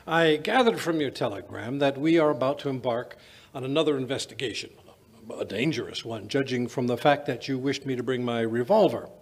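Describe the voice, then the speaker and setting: An older man playing a puzzled, blustering English gentleman doctor in an old-time radio drama, narrating and sometimes stammering. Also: mid-range voice, brisk, slightly rough. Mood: indignant. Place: small indoor stage. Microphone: stand microphone.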